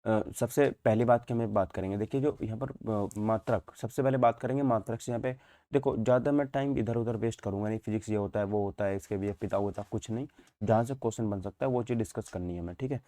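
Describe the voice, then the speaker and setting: Male teacher, mellow dark tone, dry close sound, informal lecture delivery with repeated check-ins. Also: fairly low pitch, quick pace, no room sound. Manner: repetitive, asks and answers own questions, frequent check-in tags. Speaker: physics teacher. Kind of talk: informal online exam-prep lecture in Hindi mixed with English terms.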